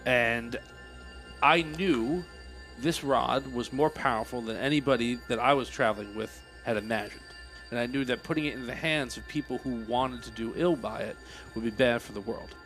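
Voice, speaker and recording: A man speaking in character at a tabletop game, earnest and measured, sometimes halting. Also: medium pitch, a bit bright, faint music behind, rough recording. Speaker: player.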